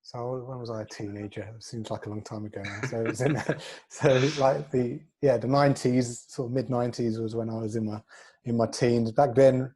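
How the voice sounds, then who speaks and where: A man's voice: mid-range voice, little pitch movement, brisk, warm tone, slightly rough. A man around forty with a London accent, giving casual, modest, self-effacing answers as an interview guest. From North London, England. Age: 30s or 40s. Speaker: interview guest, fitness coach.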